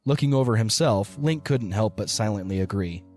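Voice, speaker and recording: Low-voiced man narrating a story over music. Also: animated, normal speed, darkish tone. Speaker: narrator of a fan audio drama. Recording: music under the voice.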